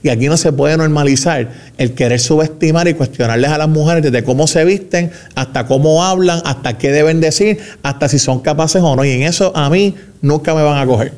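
A Puerto Rican man speaking as a government spokesman in a radio interview, measured and emphatic, with fillers and restarts. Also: on the high side, a little mellow. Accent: Puerto Rican Spanish. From Puerto Rico.

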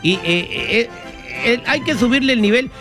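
A man's voice: very high-pitched, animated, normal speed, music in the background. A man with a Mexican accent on a sports panel, bantering casually and argumentatively with slang and repetition.

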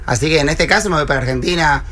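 A man giving measured, analytical sports opinions on a radio show. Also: fairly high pitch, pushed and bright. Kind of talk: casual radio sports chat.

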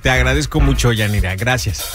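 A man's voice: medium pitch, a little fast. A man hosting a call-in radio quiz, teasing the callers with a playful, sing-song delivery. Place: radio studio. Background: background music.

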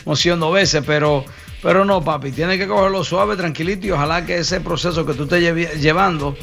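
Gravelly man giving radio advice. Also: on the high side, brisk, a bit bright, faint music behind. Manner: teasing, informal, switches into English words. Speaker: immigration advisor on a radio call-in show.

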